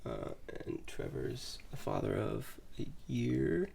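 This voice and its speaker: A young man and new father in a casual podcast chat, sounding nasal and sniffly from a sinus infection, reflective and soft-spoken. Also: mid-range voice, wildly swooping pitch, slow, gravelly, slightly rough. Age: young adult. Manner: reflective, quiet, trails off with sighs.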